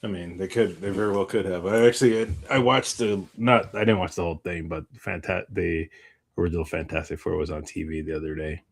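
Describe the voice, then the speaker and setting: A man's voice: low voice, fairly even intonation, brisk, gravelly. A man co-hosting a podcast in a casual, rambling chat, circling back on his own points. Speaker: podcast co-host.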